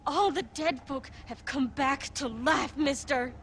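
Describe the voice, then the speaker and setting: A woman's voice: sits high, takes it slowly, fairly bright tone, a little hiss or hum, heavily acted. A woman voicing a frightened young country girl in a game cutscene, tearful and rambling. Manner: tearful, rambling, rural folksy speech. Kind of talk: video game cutscene acting.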